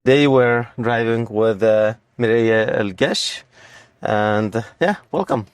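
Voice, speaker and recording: A man's voice: lowish voice, takes it slowly, a little hiss or hum. A man hosting an interview show, asking questions in a casual conversational style. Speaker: podcast host, interviewer.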